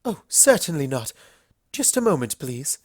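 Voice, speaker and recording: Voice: mid-range voice, sing-song, unhurried, dry recording, clean studio sound. A performer voicing a refined, courteous, slightly anxious female unicorn pony in a storybook reading, with polite, careful phrasing. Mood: flustered politeness.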